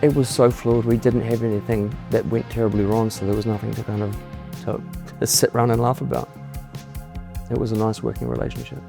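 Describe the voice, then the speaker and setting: A middle-aged man, a homeowner speaking candidly in a relaxed testimonial interview, often restarting his words. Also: medium pitch, fairly quick, gravelly. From New Zealand. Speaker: homeowner giving a client testimonial. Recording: soft music underneath.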